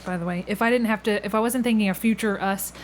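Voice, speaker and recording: A chatty woman hosts a casual interview in an easy conversational way, laughing readily. Her voice sits at a medium pitch, with some background noise around it. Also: fairly even intonation, quick pace. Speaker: podcast host interviewer. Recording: clearly audible background room noise.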